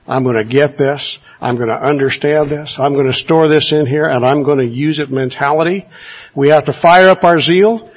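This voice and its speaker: Husky earnest preacher, muffled recording. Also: male voice, on the high side. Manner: earnest, exhorting, rhetorical questions. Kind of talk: sermon.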